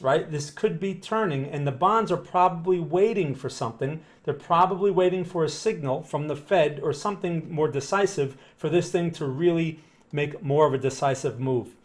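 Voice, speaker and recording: A man in his thirties with a New Jersey accent, hosting a live market-analysis stream from a small room, talking in a loose conversational way and often checking in with "right?". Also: a little high. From northern New Jersey, USA. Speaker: market analyst livestream host. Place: small room in front of a blue backdrop.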